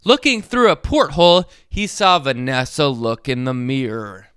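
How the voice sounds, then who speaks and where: A man reading a children's story aloud in an expressive way, as a storyteller speaking directly to young listeners. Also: medium pitch, unhurried, clear, resonant tone, no echo, clean studio sound. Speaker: storyteller, host of a read-along channel. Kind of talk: animated children's read-aloud with short asides.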